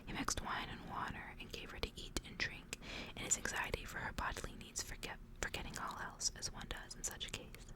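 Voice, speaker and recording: A woman reads a horror story in a soft, breathy ASMR whisper, narrating like a storybook. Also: fairly quick. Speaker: ASMR reader.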